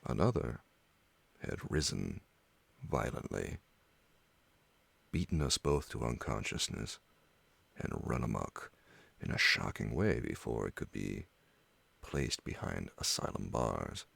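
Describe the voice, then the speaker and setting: A man with a husky, breathy voice reads a gothic horror story for ASMR listeners. He moves slowly through short, deliberate phrases. The sound is dry and close, with no room around it. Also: a bass voice, very animated, a bit bright, dry recording. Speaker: storyteller reading a horror tale. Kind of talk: slow ASMR bedtime narration of gothic horror.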